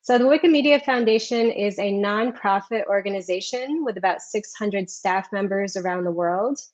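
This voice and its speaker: A woman's voice: medium pitch, moderate pace. Woman presenting over dull call audio. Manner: friendly, with frequent ums. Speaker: research community officer. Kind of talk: presentation talk.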